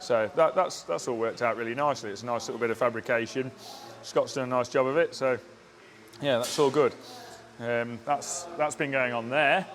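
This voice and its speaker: Male fabricator, casual build update, halting delivery, faint workshop noise behind. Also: medium pitch. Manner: halting, restarts often, plain technical talk. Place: indoor car workshop.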